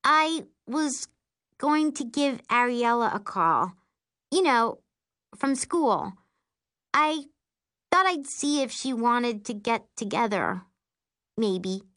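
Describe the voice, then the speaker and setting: A woman's voice: on the high side, expressive, moderate pace, a bit bright, pitched above the performer's own voice. A woman reading a storybook as a shy, uncertain girl, the narrator's younger sister. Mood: hesitant, uncertain.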